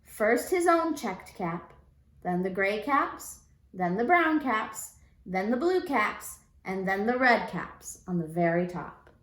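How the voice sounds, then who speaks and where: A woman teacher reading a storybook aloud to young children in an expressive, deliberate way. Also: mid-range voice, roomy sound, low-quality recording. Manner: deliberate, expressive, prompting listeners with questions.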